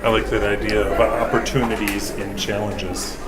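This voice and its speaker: A man's voice: lowish voice, flat delivery, moderate pace. A man interviewing someone in a conversational, supportive and encouraging way.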